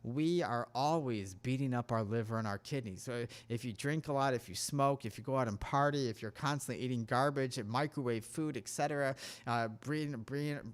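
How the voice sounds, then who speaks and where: A man's voice: mid-range voice, a little fast, few pauses. Earnest, preachy man. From United States. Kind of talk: casual talk-show monologue.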